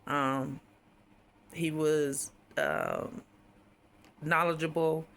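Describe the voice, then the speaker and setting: Woman with a deep, raspy, hoarse voice. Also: fairly even intonation, extremely slow, a bit bright, slight background noise. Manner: rambling, frequent fillers and restarts. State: hoarse while healing. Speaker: vlogger. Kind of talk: casual storytelling to camera.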